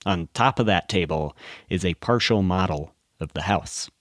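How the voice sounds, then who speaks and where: A man narrating a scripted documentary podcast in a measured, storytelling way. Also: deep voice, no room sound, studio quality.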